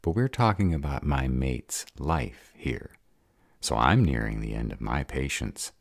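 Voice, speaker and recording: A man playing a gruff, hot-tempered were-dragon protective of his mate in an audio roleplay, gravelly, blunt and growling. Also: extremely low pitch, lively intonation, a little slow, dry recording, studio quality, heavily acted. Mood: angry, threatening. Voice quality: gravelly, raspy. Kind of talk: audio roleplay drama acting.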